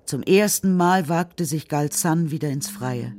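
A narrator reading a children's radio drama story in a measured, serious, literary style. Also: medium pitch, a little mellow, slight background noise.

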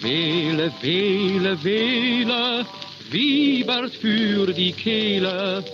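A woman's voice: mid-range voice, animated, extremely slow, dull audio, music in the background. A woman delivering a repetitive advertising jingle on a 1930s record. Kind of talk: vintage sung advertising jingle.

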